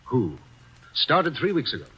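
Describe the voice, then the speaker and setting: A husky, earnest investigator. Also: male voice, mid-range voice, huge pitch swings, highs cut off, heavily acted. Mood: serious. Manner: respectful, brisk. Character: earnest male state investigator. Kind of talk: 1940s radio acting.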